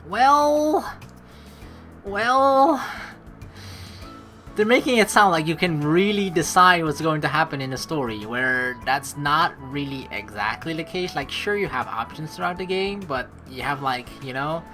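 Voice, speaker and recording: A woman giving casual, excitable gameplay commentary in an informal way, swearing freely. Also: low voice, measured pace, faint music behind. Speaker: let's play streamer. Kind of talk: casual gameplay commentary, reading game text aloud.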